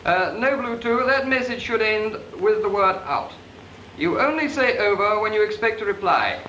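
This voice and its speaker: A man instructing trainees in radio procedure, brisk and corrective, in 1940s training film dialogue. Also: high voice, animated, sharp tone. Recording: echoey 1940s film soundtrack with hiss.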